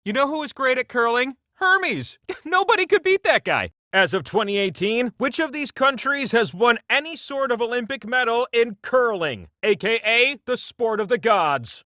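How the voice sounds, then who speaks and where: Picture a grandiose, all-powerful wheel deity hosting a video game quiz. The voice is high for a male and forceful, recorded close and dry. He delivers everything with pompous theatrical flair and a wry, mock-solemn edge. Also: sing-song, normal speed, few pauses, no room sound, exaggerated voice. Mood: wry, chatty.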